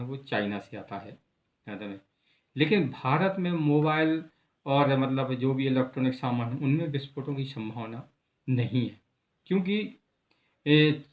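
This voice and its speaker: Echoey, phone-like Indian man explaining news. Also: mid-range voice. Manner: halting, repetitive, with frequent filler words. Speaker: news presenter. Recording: echoey room, thin phone-like sound.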